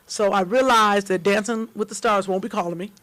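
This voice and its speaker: A middle-aged American woman with a deep voice, a comedian guest on a talk show who jokes loudly and breaks into animated outbursts. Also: brisk, no room sound. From United States. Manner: loud, joking, animated with outbursts. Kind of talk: casual talk show chat.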